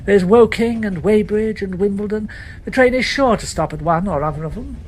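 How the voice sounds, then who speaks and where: A woman voicing a chatty, gallant young English gentleman who talks in a breezy, teasing way. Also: medium pitch, normal speed, slight background noise, voice pushed up, lightly acted.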